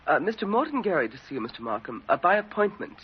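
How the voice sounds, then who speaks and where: An actress playing a chirpy, courteous office secretary in an old-time radio drama, with a sing-song way of talking. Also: female voice, fairly low pitch, big pitch swings, fast talker. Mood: polite, chirpy. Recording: noisy, dull vintage radio recording.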